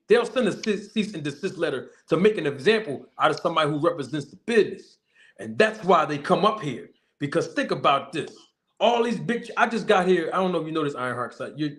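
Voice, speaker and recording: A man hosting his own online show, speaking in a somewhat high register for a man. His delivery is forceful and full of repetition and street slang. He hammers each point home as he makes his case to a guest. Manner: forceful, repetitive, preacher-like emphasis; casual slang-heavy speech. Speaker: boxing manager and channel host. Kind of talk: emphatic casual talk show monologue.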